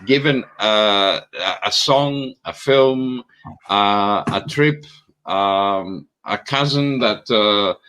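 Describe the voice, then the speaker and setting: A man speaking on an online panel as a lecturer, halting with many fillers and restarts. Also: on the low side, a bit bright, clean tone, low-quality recording.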